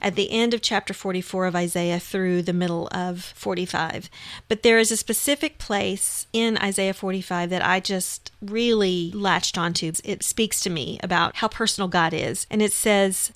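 A woman's voice: mid-range voice, fairly bright tone, no echo. A woman co-hosting a radio show, talking about scripture in an earnest, reflective, conversational way. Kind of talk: conversational Bible discussion on radio.

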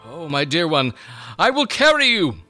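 A man voicing a devout, lame old man in a dramatic reading, strained and wheezy, pleading with deep feeling. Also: wildly swooping pitch, moderate pace, bright, forceful tone, no echo, soft background music, exaggerated voice. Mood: tender relief. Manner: impassioned, pleading.

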